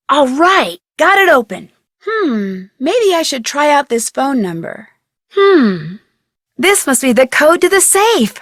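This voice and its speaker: A young American woman playing a curious, chirpy amateur detective in an adventure game, thinking aloud with clear enunciation. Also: sits high, dry recording, clean studio sound, full character voice. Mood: inquisitive. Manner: clearly enunciated, inquisitive musing to herself.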